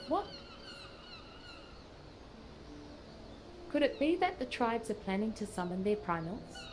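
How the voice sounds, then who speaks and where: Woman acting a pensive scholarly sage, mellow voice. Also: medium pitch, a little hiss or hum, natural voice. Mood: wary pondering. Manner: measured, pensive, archaic phrasing. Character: earnest scholarly adult female sage, pensive and formal. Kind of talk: scripted fantasy game dialogue.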